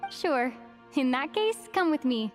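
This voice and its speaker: High, lilting young traveler. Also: female voice, big pitch swings, measured pace. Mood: tender. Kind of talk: anime game voice acting. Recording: faint music behind the voice.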